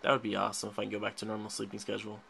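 Gravelly young man rambling over gameplay. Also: fairly low pitch, completely flat delivery, racing, pushed and bright, slight background noise. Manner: rambling, chatty, frequent laughs. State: a little sick. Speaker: gaming channel host. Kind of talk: casual let's play commentary. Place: indoors at a desk, filming a tablet.

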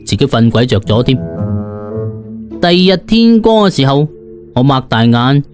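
A man's voice: mid-range voice, sing-song, moderate pace, music in the background. A man with a Cantonese accent narrating a comic first-person audiobook in a colloquial, theatrical way.

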